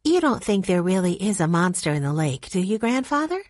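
A woman's voice: medium pitch, sing-song, brisk, darkish tone, no room sound, clean studio sound. A woman voicing a sensible older sister who speaks firmly and directly. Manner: firm, direct.

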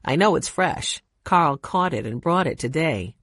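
Woman playing a brisk lodge-owner woman. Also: deep voice, expressive, fairly bright tone, husky, dry recording, clean studio sound, heavily acted.

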